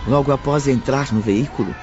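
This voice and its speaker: Casting: male audiobook narrator, mid-pitched, solemn and dramatic, slightly muffled with music beneath. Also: normal speed. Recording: dull lo-fi audio under music.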